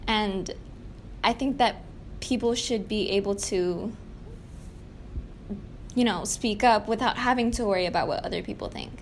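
A woman's voice: medium pitch, measured pace, pauses often. A young woman in a casual, candid group conversation, talking in a self-effacing, conversational way peppered with "like". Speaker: podcast guest, play cast member. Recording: faint noise, room ambience.

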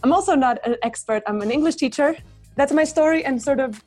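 A woman's voice: high voice, fairly quick, a little mellow, soft background music. A woman who grew up in Finland and Ohio, speaking as an English teacher on a podcast, modest and careful, trailing off at times. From Finland, raised partly in Ohio.

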